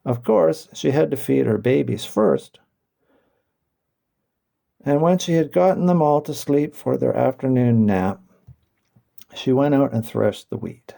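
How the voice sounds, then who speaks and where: A man reads a children's story as an audiobook narrator. His voice is very creaky and gravelly, with a soft, dark tone. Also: medium pitch, animated, a little slow, long pauses.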